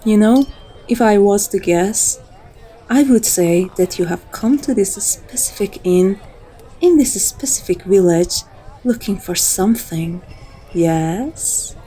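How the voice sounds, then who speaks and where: A dominant female vampire, played by a woman, speaks in a relaxed, dark-toned voice at a leisurely pace. She is flirtatious and teasing, drawing the listener in with intimate endearments as in an ASMR roleplay. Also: mid-range voice, takes it slowly, rough recording. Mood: playful, seductive.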